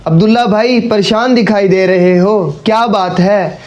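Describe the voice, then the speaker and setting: A man's voice: sits high, normal speed, a little mellow, close to an everyday voice. A man voicing a friendly, concerned village neighbour in a cartoon story.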